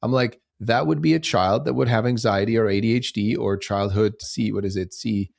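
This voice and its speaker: A man, speaking in a fairly low voice. He talks reflectively in a casual podcast chat and often poses rhetorical questions to himself. The sound is close and dry. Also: moderate pace, vocal fry, a little mellow, no echo. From Switzerland. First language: German. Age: 50s. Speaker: podcast guest, movement founder. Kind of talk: casual interview conversation.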